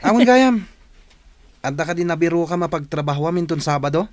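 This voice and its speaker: A man plays a brash, girl-crazy young cousin in a radio comedy. His pitch swings widely and sits fairly high. He teases and exclaims, recorded dry and close. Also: animated, brisk, no room sound, lightly acted. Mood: playful, teasing. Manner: teasing, exclamatory. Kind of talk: radio comedy drama acting.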